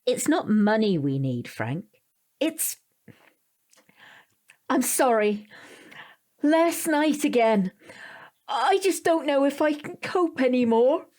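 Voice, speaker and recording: An anxious mother in an audio drama. Her high voice wavers widely and moves very slowly, fretful and hesitant. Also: big pitch swings, darkish tone, exaggerated voice. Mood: despairing. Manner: fretful, hesitant, breaking into whispers. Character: anxious, worn-down mother frightened of her son.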